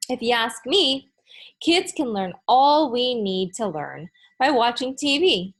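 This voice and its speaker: A woman's voice: on the high side, husky, exaggerated voice. A woman reading a children's storybook as an opinionated eight-year-old boy who hates school, with emphatic delivery. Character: eight-year-old boy narrator, blunt school-hating second grader. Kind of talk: children's storybook read-aloud.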